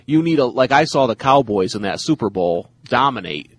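A man's voice: medium pitch, expressive, normal speed, no room sound. A man with a nasal voice co-hosting a sports talk show, giving easygoing football analysis. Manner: easygoing analysis, laughs often. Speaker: sports radio co-host, former player.

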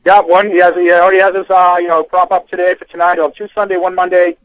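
Boston-accented male betting analyst, high pitch, loose and rambling. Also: flat delivery, fast, no room sound, muffled recording. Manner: rambling, full of fillers. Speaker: sports betting analyst guest. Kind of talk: casual radio interview.

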